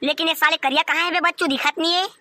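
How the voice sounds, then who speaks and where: A performer voicing a bossy, wily young cartoon shop partner, squeaky and scolding, with a Chhattisgarhi accent. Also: steady tone, fast talker, bright, forceful tone, dry recording. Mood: scheming. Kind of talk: comedy cartoon dub in Chhattisgarhi.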